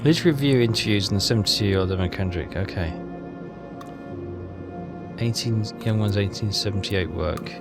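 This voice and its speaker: Low-voiced man playing through a game. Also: brisk, gravelly, pauses often. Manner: hesitant, thinking aloud. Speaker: game walkthrough player. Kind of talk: casual game commentary, reading text aloud. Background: loud game music.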